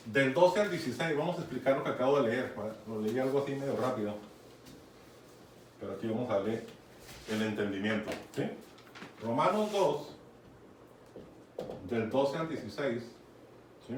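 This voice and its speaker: A man with a Mexican accent preaching a conversational Bible sermon, often checking in with his listeners. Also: mid-range voice. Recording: echoey room, from far off, faint noise.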